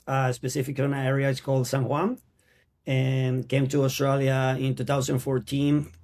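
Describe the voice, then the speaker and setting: Venezuelan man, earnest halting English. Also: mid-range voice, monotone, darkish tone. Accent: Venezuelan Spanish. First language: Spanish. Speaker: actor guest. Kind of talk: casual interview.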